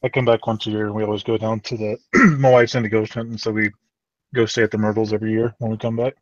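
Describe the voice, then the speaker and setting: A man's voice: fairly low pitch, quick pace, no room sound. A middle-aged man from northern Louisiana without a strong accent, chatting casually and telling wry stories with chuckles. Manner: relaxed, wry storyteller who chuckles. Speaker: guest on a live stream. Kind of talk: casual storytelling chat. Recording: dull lo-fi call audio.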